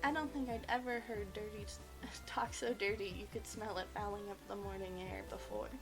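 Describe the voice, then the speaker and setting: A woman reading a visual novel aloud as expressive narration. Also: mid-range voice, a little fast, poor audio. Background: game music.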